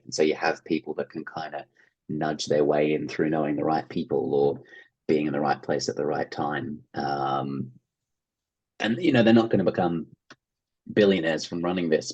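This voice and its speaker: Very deep, husky Australian man. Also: a little mellow, dull audio. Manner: thoughtful, discursive, hedging phrasing. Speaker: interview guest, playwright and actor. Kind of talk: conversational interview answers.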